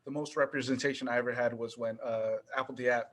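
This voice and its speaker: A man hosting a casual interview, enthusiastic and slangy, often letting his voice drop soft. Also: medium pitch, fairly even intonation, quick pace. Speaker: podcast host.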